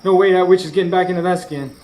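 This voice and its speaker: An actor playing an anxious, determined husband who narrates a ghost hunt in a folksy, urgent way in a live radio drama. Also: male voice, sits high, fairly even intonation, rapid-fire, a little mellow, close to an everyday voice, low-quality recording. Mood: tense, determined. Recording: live stage recording, clear background noise.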